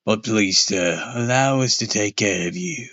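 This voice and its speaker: Gruff, low monster greeter, slow and creepily courteous, voiced by a male reader. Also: fairly bright tone, dry recording, dull audio. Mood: eerie calm. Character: gruff, low-voiced monster ticket taker, eerily polite.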